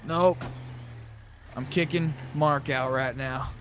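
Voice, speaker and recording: A man's voice: mid-range voice, fairly even intonation, slow pace, fairly bright tone. A man chatting casually and informally, with some swearing, as he plays an online roleplay game. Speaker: video game player. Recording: noisy game voice chat.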